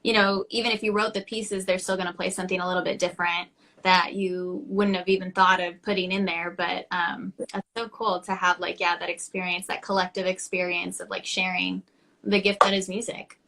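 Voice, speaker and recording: A woman's voice: lowish voice, level tone, fairly quick, bright, forceful tone, poor audio. A woman hosting a live-stream interview over a video call, reacting with upbeat enthusiasm. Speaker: host.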